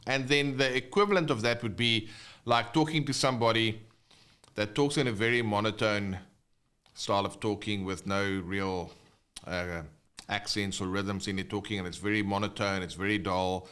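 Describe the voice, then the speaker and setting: A man's voice: lowish voice, fairly even intonation, normal speed, fairly bright tone, clean tone. A man with a South African accent who speaks English as a second language, hosting a casual live guitar lesson and chatting with viewers. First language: not English (English is second language). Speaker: guitar teacher, livestream host.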